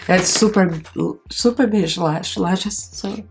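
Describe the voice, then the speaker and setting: A woman's voice: mid-range voice, takes it slowly, vocal fry, a little hiss or hum. A woman with a stuffy, nasal voice from a cold, chatting casually as she walks through a makeup tutorial. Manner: chatty, rambling with fillers, talks while applying makeup. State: congested, nasal, strained voice from a cold. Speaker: beauty vlogger.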